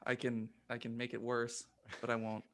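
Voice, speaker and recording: A man co-hosting a podcast in casual, joking banter, halting and self-deprecating. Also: mid-range voice, completely flat delivery. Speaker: podcast co-host. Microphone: remote video call.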